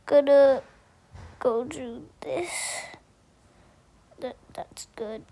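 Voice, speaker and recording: A woman giving a casual how-to tutorial, speaking haltingly and repeating herself as she thinks aloud. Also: sits high, creaky, warm tone. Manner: halting, repetitive, thinking aloud while demonstrating. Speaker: tutorial host.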